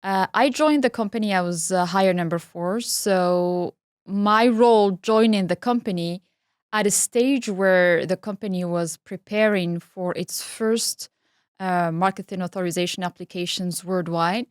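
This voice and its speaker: Articulate middle-aged woman, smooth voice. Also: fairly low pitch, unhurried, no room sound, clean studio sound. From Algeria. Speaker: guest, pharma regulatory head. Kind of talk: interview conversation.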